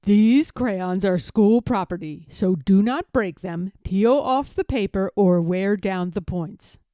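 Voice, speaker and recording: A woman's voice: mid-range voice, slow, dark tone, no echo, close to an everyday voice. A woman reading a storybook, voicing a stern woman first-grade teacher with a firm, emphatic, instructing manner. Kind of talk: children's storybook read-aloud.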